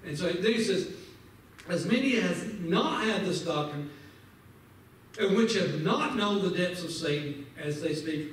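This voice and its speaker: A man leads a Bible lesson from the front of a large church, his voice pitched high and fervent as he quotes scripture and presses his listeners. The sound is heavily echoey, heard from a camera far back among the pews. A faint hiss of room noise sits underneath. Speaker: Sunday school teacher. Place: large church sanctuary, indoors.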